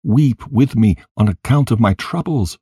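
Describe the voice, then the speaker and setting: A man's voice: mid-range voice, expressive, unhurried, warm tone, breathy edge, dry recording, studio quality, voice pushed up. A man reading scripture dramatically as an anguished young son, crying out and imploring in mourning. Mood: anguished pleading. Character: anguished young son pleading at his mother's grave.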